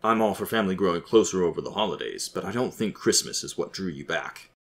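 A man plays a dead father's ghost in an audiobook. The voice sits fairly low, dry and nonchalant. He needles and teases without getting ruffled. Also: expressive, fast, a bit bright. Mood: probing. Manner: dry, unruffled, teasing. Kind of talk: audiobook character dialogue.

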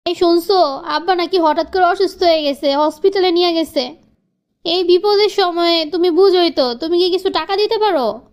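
Cartoon casting: woman as aggrieved young wife, very high voice, plaintive and emphatic, pleading but defiant. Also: moderate pace. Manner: plaintive, earnest, emphatic complaining. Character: frustrated young wife, pleading then defiant about independence. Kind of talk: cartoon family drama dialogue.

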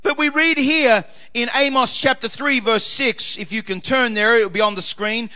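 A man preaching as pastor, reading scripture and swinging between loud shouted climaxes and quieter asides. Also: very high voice, moderate pace. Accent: Australian. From Australia.

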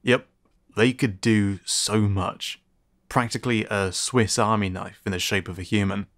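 Man playing a low-voiced, teasing sci-fi tinkerer. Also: steady tone, a little slow, a bit bright. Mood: teasing, friendly. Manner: casual, joking, chuckling. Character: teasing, friendly male sci-fi tinkerer and repairman. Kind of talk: ASMR sci-fi roleplay.

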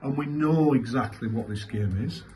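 A man, answering as a football manager after a match in halting, repetitive, emphatic phrases. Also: medium pitch, mellow. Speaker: football manager giving a post-match interview. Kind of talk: post-match interview answers. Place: small indoor club press room. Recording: clearly noisy room ambience.

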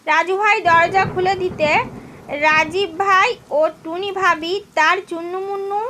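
A woman's voice: very high-pitched, fairly even intonation, measured pace, bright, forceful tone. A woman reading scripted narration for a cartoon story.

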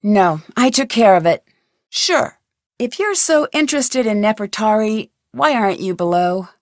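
A woman's voice: mid-range voice, expressive, a little slow, dry recording, studio quality, lightly acted. An actress voicing a curious, determined young female amateur detective in a video game, asking earnest questions. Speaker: game protagonist. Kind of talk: studio video game voice lines.

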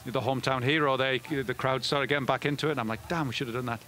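Fast-talking middle-aged coach, headset mic. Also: male voice, medium pitch, fairly even intonation, bright, forceful tone. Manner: fast, with frequent restarts and fillers. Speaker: head soccer coach. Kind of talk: post-game interview. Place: indoor media room before a backdrop.

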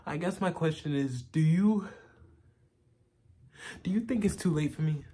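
A man playing a self-loathing, washed-up man in a dramatic monologue, pleading haltingly and rawly for reassurance. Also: fairly high pitch, dark tone, breathy edge, voice pushed up. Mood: anxious pleading. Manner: halting, pleading, emotionally raw.

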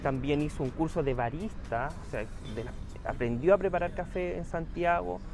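A man's voice: a little high, normal speed, gravelly, over music. A young man, a small business owner answering interview questions outdoors, earnest and hesitant with many fillers. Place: outdoor town plaza.

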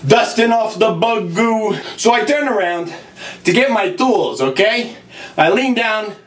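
An echoey, distant recording of a high-voiced, gruff-tempered plumber in a comedy monologue. He is a put-upon older brother who rambles crudely to his customer and keeps tagging phrases with "right?". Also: expressive, measured pace, a little hiss or hum. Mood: grumbling, storytelling. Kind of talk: comic character monologue, chatting to a customer. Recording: roomy echo, distant from mic.

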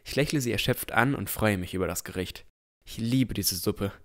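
Man playing an earnest young explorer, low-voiced. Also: normal speed, no room sound, clean studio sound, close to an everyday voice. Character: boy narrator Noel, earnest, curious would-be explorer. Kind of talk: scripted first-person audio drama narration.

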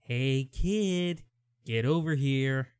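A man's voice: medium pitch, extremely slow, a little mellow, clean tone, dry recording, clean studio sound. A man playing a menacing, mocking teenage school bully with a sneering, aggressive delivery in an audio drama. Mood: hostile.

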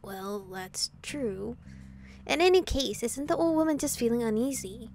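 A woman's voice: a little high, lively intonation, a little slow, a little mellow, a little hiss or hum. A young adult woman streaming a visual novel, reading the text aloud with casual, slangy reactions. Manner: informal slangy asides. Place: indoor room, webcam setup. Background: game music.

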